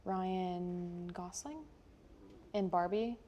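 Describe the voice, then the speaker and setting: A woman's voice: lowish voice, drawn-out words, mellow, slight background noise. A woman with an American accent chatting casually and informally as a TV news show co-host. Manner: chatty, informal, frequent "like" and "oh my gosh". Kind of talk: casual unscripted studio chat.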